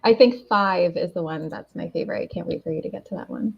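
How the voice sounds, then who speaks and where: Dof opgenomen vrouw in losse kletspraat. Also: female voice, lowish voice, fast, vocal fry, slightly rough. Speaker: livestream panelist. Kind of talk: casual livestream chat. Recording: dull lo-fi call audio.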